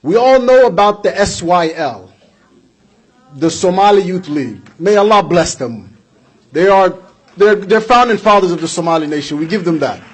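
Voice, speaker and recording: A man's voice: sits high, expressive, normal speed, husky, poor audio. A man giving a blunt political speech to a community audience, driving his points home with rhetorical questions and repeated phrases. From United States. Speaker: community speaker.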